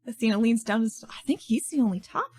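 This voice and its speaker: A woman's voice: a little high, quick pace, a little mellow, husky. Laughing woman playing a tabletop game. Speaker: player.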